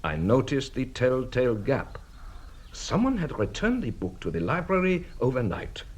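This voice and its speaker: An actor playing a shrewd, courteous police detective in a radio drama, a husky voice and a formal, measured, theatrical manner. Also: male voice, medium pitch, wildly swooping pitch, darkish tone. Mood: explaining.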